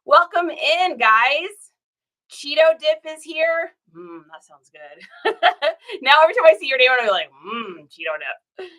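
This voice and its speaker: A woman in her thirties chats casually on a livestream, greeting viewers one by one and laughing easily. Her voice sits a little high and sounds very bright, with a lingering scratchiness left over from a recent cold. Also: very animated, unhurried. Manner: chatty, greets viewers by name, laughs often. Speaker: reseller livestream host.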